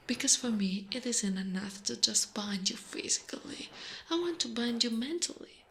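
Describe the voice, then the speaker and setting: A woman voicing a seductive, possessive spider monster in a breathy, teasing ASMR whisper. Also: medium pitch, fairly bright tone, slight background noise. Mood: possessive, doting. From Italy. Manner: slow, drawn-out, teasing. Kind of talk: ASMR roleplay, mostly whispered.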